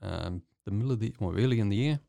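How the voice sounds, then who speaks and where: A man's voice: fairly low pitch, quick pace, vocal fry, dark tone, no echo. A man with a New Zealand accent chatting casually as an interview guest. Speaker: guest, visiting artist.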